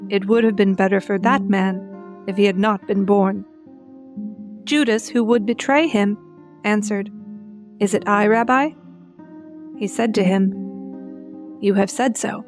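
A woman narrates scripture in a solemn, reverent way. The voice sits at a medium pitch and moves in measured phrases, with clear guitar music behind it. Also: steady tone.

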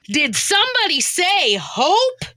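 A woman playing a joyful, excited female disciple in a radio sitcom. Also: very high-pitched, slow pace, heavy background noise, exaggerated voice. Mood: elated.

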